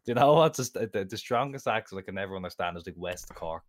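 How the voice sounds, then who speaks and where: A man's voice: sits low, expressive, fast, dry recording. A young man with a Dublin Irish accent, chatting casually as podcast co-host and rambling with lots of "like"s. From Ireland. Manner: rambling, self-interrupting with many "like"s. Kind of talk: casual chat.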